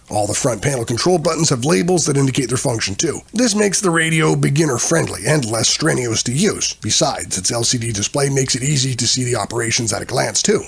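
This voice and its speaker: A man reading a scripted product review in a measured, explanatory way, as a narrator. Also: mid-range voice, creaky, husky, dry recording.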